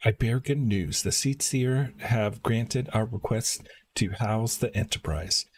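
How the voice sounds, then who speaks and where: A male game streamer with a lowish pitch and a noticeably husky tone. He talks casually, half to himself, as he plays. When he reads game text aloud, his delivery is halting. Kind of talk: casual live-stream gameplay commentary and reading dialogue aloud.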